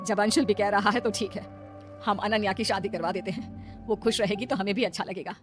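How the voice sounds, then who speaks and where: A woman's voice: mid-range voice, fairly even intonation, fairly quick, natural voice. A woman voicing a middle-aged, protective and firm mother-in-law in a cartoon family melodrama, earnest and admonishing, music behind. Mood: concerned.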